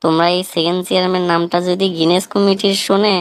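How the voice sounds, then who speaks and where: A woman's voice: on the low side, level tone, quick pace, clean tone, lightly acted. A woman voicing a witty, defiant young village man in a comic cartoon, with a northern Bangladeshi accent and a wry, argumentative manner. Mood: teasing, accusing. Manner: argumentative, wry, rhetorical questioning.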